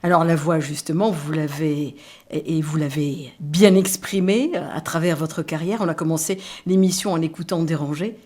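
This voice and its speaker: Woman radio host, bit low voice, chatty questions, lots of mhms. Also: normal speed, mellow.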